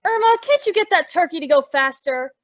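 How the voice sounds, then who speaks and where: A woman's voice: very high-pitched, lively intonation, a little fast, no room sound. A woman playing a sensible, exasperated young roommate who also narrates an old-time radio comedy in a wry, bossy way. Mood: exasperated, wavering. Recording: dull, lo-fi, dry audio.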